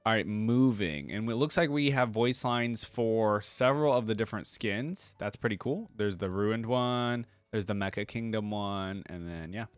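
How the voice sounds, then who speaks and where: A man's voice: lowish voice, lively intonation, normal speed, hardly any pauses, clear, resonant tone, no echo. A man hosting a reaction video, commenting casually in an easygoing, amused way.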